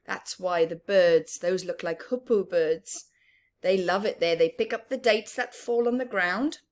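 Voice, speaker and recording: A woman reading a picture book aloud to young children, interactive and expressive, with a sing-song, questioning manner. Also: fairly low pitch, a little slow. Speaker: children's story reader and teacher.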